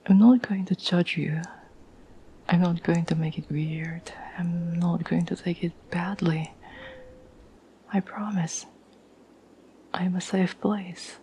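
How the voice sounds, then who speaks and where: A woman playing a caring, patient girlfriend in an ASMR roleplay, speaking in a soft, breathy whisper with a gentle, reassuring manner. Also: on the low side, fairly even intonation, slight background noise, low-quality recording. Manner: gentle, slow, soothing, reassuring.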